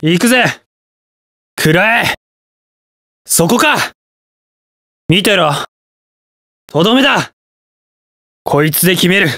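A cocky young masked rider hero in a video game, an aloof wanderer with a cool, self-assured edge. He takes his time, with unhurried lines. The recording is dry and close, with no room sound. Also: high-pitched, lively intonation, pushed and bright, no echo, heavily acted. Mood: fierce battle cries.

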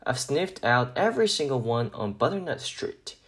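A man voicing an eager, hopeful homeless puppy in a children's picture book, pleading and expressive. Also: medium pitch, moderate pace.

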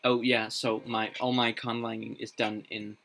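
A young man whose first language is Danish, thinking aloud on a casual live stream, halting with many fillers and restarts, recorded in a small room. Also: lowish voice, flat delivery, gravelly, fairly bright tone, slight background noise. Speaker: streamer building a constructed language.

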